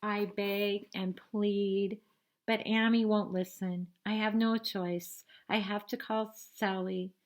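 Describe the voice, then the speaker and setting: A woman reading a picture book aloud to children like a teacher, expressively, pausing for simple explanations and questions. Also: medium pitch, level tone. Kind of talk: read-aloud storytelling with asides and questions to young listeners.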